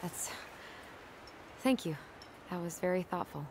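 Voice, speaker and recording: An actress playing a thoughtful, gentle young woman, hesitant and naturalistic, in a video game. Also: fairly low pitch, lively intonation, unhurried, background noise. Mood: polite, reserved. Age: young adult.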